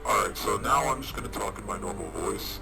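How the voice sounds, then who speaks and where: Casting: male DIY host, low register, voice-modulator processing, loud music underneath. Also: brisk. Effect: live pitch-shifting voice changer. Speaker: maker and video host. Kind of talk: casual DIY demonstration.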